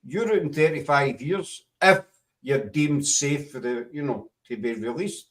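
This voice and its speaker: A man's voice: a little high, a little slow, a bit bright, distant mic. A Scottish man hosting a casual panel chat, rambling and correcting himself as he talks. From Scotland. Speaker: host.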